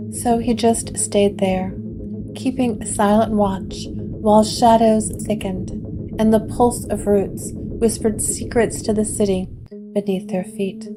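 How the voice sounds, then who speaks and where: A woman narrates a gothic audio drama at an unhurried, deliberate pace, her voice sitting in a middle register. Plucked guitar music plays clearly behind her. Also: medium pitch, rough recording. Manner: slow, measured storytelling.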